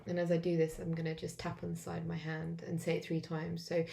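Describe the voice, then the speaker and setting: An English woman who is wheezy and coughing, talking in a halting way with many fillers as she walks through a self-help tapping routine alone at home. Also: lowish voice, completely flat delivery, gravelly, warm tone. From England. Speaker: vlogger demonstrating tapping. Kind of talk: casual self-help walkthrough.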